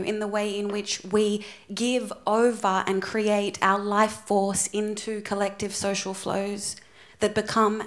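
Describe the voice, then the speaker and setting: Loud woman with a flat delivery. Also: mid-range voice. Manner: loud, hesitant with many ums. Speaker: audience participant. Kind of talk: conference discussion remark. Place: conference room in a museum.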